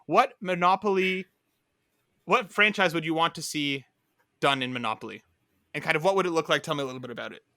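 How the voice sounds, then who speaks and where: A man's voice: on the high side, fairly quick, long pauses, pushed and bright, no room sound, studio quality. A man hosting a podcast with casual, jokey, rambling banter among friends. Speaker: podcast host.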